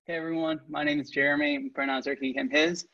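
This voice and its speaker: A man's voice: on the high side, flat delivery, fast, sharp tone, dry recording. A college student in his early twenties from Singapore and the Bay Area, a man casually introducing himself on a video call. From Singapore and the San Francisco Bay Area. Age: early 20s. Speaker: student panelist. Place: indoor room, video call. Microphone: video call microphone. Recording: dull, dry video-call audio.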